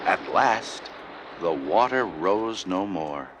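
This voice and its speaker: A man narrating a scripted children's story, with expressive delivery and deliberate phrasing. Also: low voice, background noise. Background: loud music.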